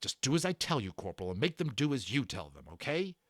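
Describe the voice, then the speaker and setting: A man's voice: medium pitch, big pitch swings, quick pace, no echo, studio quality. Man playing a bossy, urgent boy. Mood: commanding. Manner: urgent, commanding, terse. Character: bossy, determined boy leading a secret battle.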